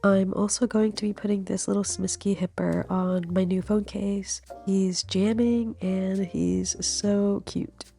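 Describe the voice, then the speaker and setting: A woman's voice: mid-range voice, little pitch movement, a little slow, vocal fry, few pauses, warm tone, soft background music. A young woman with a cold, sounding stuffy and nasal, chatting easily through a vlog voiceover. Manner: chatty, easygoing, laughs while talking. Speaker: vlogger. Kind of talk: casual voiceover narration. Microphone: studio voiceover mic.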